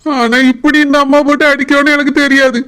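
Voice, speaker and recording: A man voices a hapless cartoon fellow with a very high, unnatural pitch. His delivery stays flat and even while he pleads his case in a plaintive, explaining manner. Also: fast talker. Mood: aggrieved. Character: hapless man mistaken for the boss's husband. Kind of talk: Tamil comedy cartoon dialogue.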